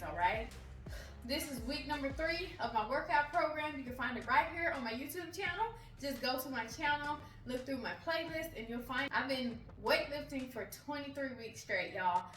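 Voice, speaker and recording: A woman's voice: high-pitched, normal speed, fairly bright tone. A woman in her forties giving an encouraging fitness vlog, often checking in with the viewer. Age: 40s. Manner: encouraging, keeps checking in with "okay?". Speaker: fitness vlogger. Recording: echoey room, voice from a distance, music behind.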